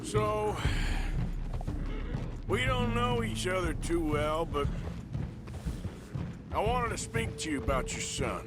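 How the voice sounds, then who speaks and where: Weary outlaw, higher voice, guarded, game dialogue over music. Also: male voice, animated. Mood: worried. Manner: plainspoken, slow and guarded. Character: weary rugged male outlaw, plainspoken. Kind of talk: video game voice acting.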